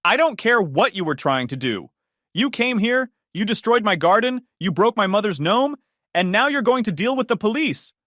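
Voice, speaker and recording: A woman's voice: sing-song, normal speed, a bit bright, no room sound, studio quality. A man voicing a furious middle-aged woman neighbor who rants indignantly over her ruined garden. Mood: ranting. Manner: shrill, indignant ranting.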